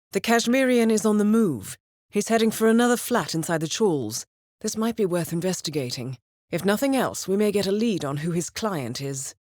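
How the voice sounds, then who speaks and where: An actress with a British accent playing a composed, dryly wry intelligence handler briefing an assassin in a video game, poised and measured. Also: female voice, lowish voice, no room sound. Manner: poised, measured, dry understatement.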